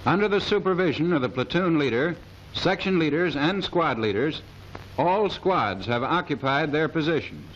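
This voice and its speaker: A man's voice: on the high side, lively intonation, normal speed, a bit bright. A man reading scripted military instructional narration in a formal, precise manner, in the style of a 1930s training film. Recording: dull, hissy 1930s film soundtrack.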